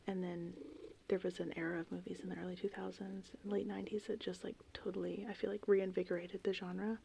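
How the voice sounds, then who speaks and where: A woman rambling casually and informally in an ASMR style, with a soft, breathy voice that often slips into a whisper. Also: fairly low pitch, fairly quick, a little hiss or hum. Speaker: ASMR host. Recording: steady rain and thunder ambience. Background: gentle rain and thunderstorm sounds.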